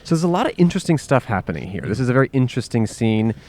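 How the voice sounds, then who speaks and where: A man's voice: medium pitch, sing-song, rapid-fire, a little mellow. A man hosting a casual outdoor podcast, chatting and asking curious questions, often repeating himself. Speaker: podcast host. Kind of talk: casual street interview. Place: outdoors on a city street.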